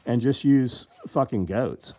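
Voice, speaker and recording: A man's voice: medium pitch, slow, dark tone. A man in his fifties hosting a casual live show, answering viewer comments in a blunt, rambling and profane way. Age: 50s.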